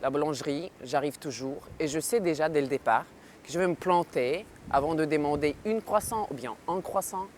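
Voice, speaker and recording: Animated Ukrainian woman, faint background hiss. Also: deep voice, moderate pace. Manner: animated, mocking, laughs often. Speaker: interviewee. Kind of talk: documentary interview.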